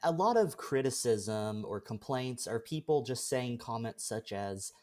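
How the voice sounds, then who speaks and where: A man's voice: medium pitch, lively intonation, unhurried, mellow. A man hosting a podcast, asking friendly, thoughtful questions. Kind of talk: casual interview questions.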